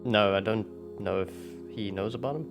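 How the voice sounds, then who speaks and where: Male player, lowish pitch, casual game chat, faint music. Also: moderate pace, gravelly, highs cut off.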